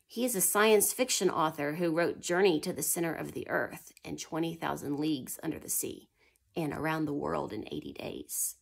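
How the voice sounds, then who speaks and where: A woman's voice: fairly low pitch, gravelly, slightly rough. A woman teaching history to young children, reading aloud and stopping to ask and answer her own questions, sometimes dropping to a whisper. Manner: asks children questions and answers them herself; drops to a whisper for suspenseful parts.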